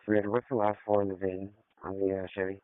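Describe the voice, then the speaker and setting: A policeman with a deep, resonant voice trades short messages on the radio. The sound is dry and thin, like narrowband scanner audio from a two-way radio. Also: completely flat delivery, quick pace, clear, resonant tone, dry recording. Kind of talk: radio traffic exchange.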